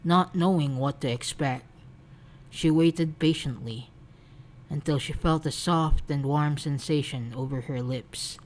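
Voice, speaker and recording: Woman, low-pitched, soft scripted narration, romance fan fiction reader. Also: unhurried, slight background noise.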